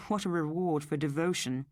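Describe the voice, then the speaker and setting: A woman voicing a thoughtful, soft-hearted husband who speaks slowly and musingly. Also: low voice, dry recording, clean studio sound. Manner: slow, musing.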